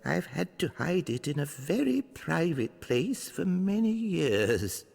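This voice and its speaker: An actor playing a cheerful old male prisoner, proud of surviving torture, with a creaky voice and jaunty gallows humour in a video game. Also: a little high, big pitch swings, slow pace, a little mellow, heavily acted. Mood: jaunty, kindly. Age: older. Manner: jaunty, darkly humorous. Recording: long reverb, music underneath.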